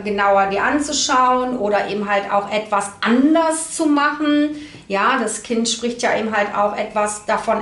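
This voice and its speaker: A woman giving an informal card reading to camera, explaining in a halting way as she searches for words. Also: fairly high pitch. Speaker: tarot card reader. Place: indoor room at a table. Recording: roomy, echoey indoor sound.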